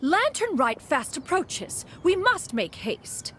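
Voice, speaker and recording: A woman's voice: very high-pitched, very animated, a bit bright, slight background noise, full character voice. A woman voicing a proud, formal female crane spirit in a game, speaking in a stately, archaic way and calling herself "one". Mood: solemn. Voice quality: stately, measured. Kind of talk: game voice acting. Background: game music.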